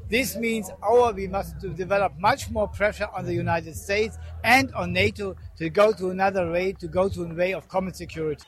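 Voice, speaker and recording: High-voiced man. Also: fairly even intonation, moderate pace, few pauses. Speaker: peace activist. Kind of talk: spoken statement in English as a second language.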